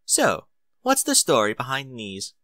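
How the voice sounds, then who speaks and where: A man acts out a genteel lady from a storybook, polite and a little fussy. Her lines swing expressively up and down with a bright tone, in a dry, close recording. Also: mid-range voice, wildly swooping pitch, unhurried, no echo, exaggerated voice. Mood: excited, knowing.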